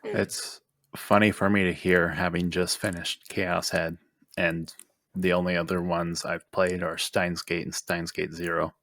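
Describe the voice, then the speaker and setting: A man's voice: sits low, level tone, a little slow, a bit bright, dry recording. A man co-hosting a gaming podcast, chatting casually about what he has played. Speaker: podcast co-host.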